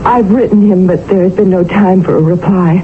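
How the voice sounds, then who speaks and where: A woman's voice: fairly low pitch, fairly quick, close to an everyday voice. An actress playing a refined young woman who fears for her fiancé, speaking earnestly in a 1970s radio drama. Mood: fearful. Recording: tinny old radio broadcast with hiss.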